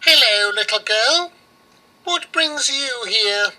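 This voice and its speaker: A man voicing a commanding old witch in a storybook, with drawn-out words. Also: very high-pitched, lively intonation, sharp tone, pitched above the performer's own voice, heavily acted, rough recording. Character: old witch Baba Yaga, commanding female crone. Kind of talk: storybook character voice.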